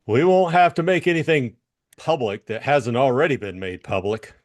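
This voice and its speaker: A man's voice: mid-range voice, expressive, moderate pace, lightly acted. A man playing a shrewd, cool private detective in a dramatized audiobook, blunt and knowing. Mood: cool, assured.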